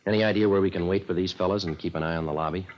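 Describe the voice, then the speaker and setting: An actor playing a terse, impatient police detective who narrates his case in a clipped, deadpan American voice, in 1950s radio drama style. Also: low-pitched, racing, a little hiss or hum, lightly acted. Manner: deadpan, clipped, matter-of-fact. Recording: dull lo-fi 1950s radio recording, music behind.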